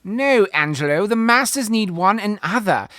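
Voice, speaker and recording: A man's voice: high-pitched, expressive, moderate pace, bright, forceful tone, no echo, clean studio sound. An actor playing a servile, anxious male robot time machine in a comedy radio play. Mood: devoted. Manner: fawning, flustered, calls everyone sirs.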